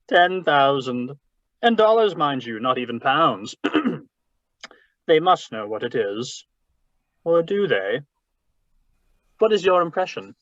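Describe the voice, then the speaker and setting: A man's voice: a little high, very animated, a bit bright, no echo, full character voice. Radio noir villain, man acting: portly, genial, verbose schemer, formal diction, dry lo-fi call audio. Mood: sly, amused. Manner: florid, formal, deliberate, genial. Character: jovial, shrewd, verbose fat male crime boss. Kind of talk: 1940s radio drama read-through. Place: video call read-through.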